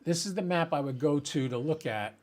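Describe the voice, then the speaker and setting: Casual man with a slightly higher-pitched voice. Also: animated, brisk, a little mellow. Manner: informal, thinking aloud while working at a computer. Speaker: host of a ham radio channel. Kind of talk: casual live demonstration, talking to viewers.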